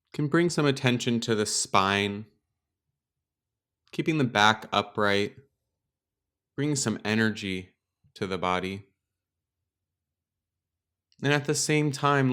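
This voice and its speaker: A man leading a guided meditation as a teacher, speaking in a calm, gentle, unhurried way with pauses. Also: on the low side, smooth. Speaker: meditation teacher and podcast host. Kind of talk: guided meditation, slow and instructional.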